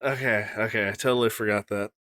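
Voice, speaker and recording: A man's voice: lowish voice, steady tone, quick pace, bright, forceful tone, no echo. A man co-hosting a podcast in casual chat, joking and emphatic. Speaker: podcast co-host. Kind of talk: casual chat, reality TV recap.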